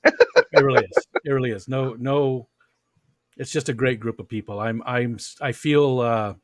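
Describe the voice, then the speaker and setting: A man's voice: mid-range voice, moderate pace, dry recording. A man hosting a casual livestream chat, rambling and friendly, with plenty of fillers. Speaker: livestream host.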